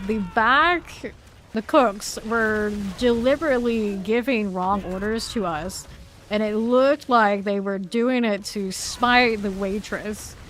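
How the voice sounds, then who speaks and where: A woman's voice: mid-range voice, a little hiss or hum. Brief: rambling, laughing woman game streamer, ordinary mid-range voice, chatty aside while she plays. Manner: chatty, rambling, laughs easily between callouts. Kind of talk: casual chat while playing a game live.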